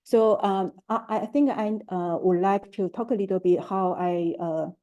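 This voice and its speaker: A middle-aged woman from Taiwan, speaking with a Taiwanese accent, gives a careful, halting talk as an artist and curator at an online forum, pausing and restarting often. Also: medium pitch, warm tone, dull audio. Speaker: artist, curator and art educator. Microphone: video call.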